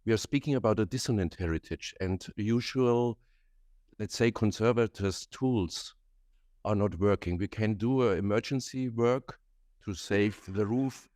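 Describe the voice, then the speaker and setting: A man asking a question from the audience at a conference, measured and with some fillers. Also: on the low side, darkish tone, no echo, clean studio sound.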